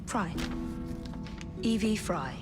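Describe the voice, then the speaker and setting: A dry, sarcastic assassin. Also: female voice, medium pitch, expressive, drawn-out words. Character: sharp-tongued young woman assassin. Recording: game cutscene with music.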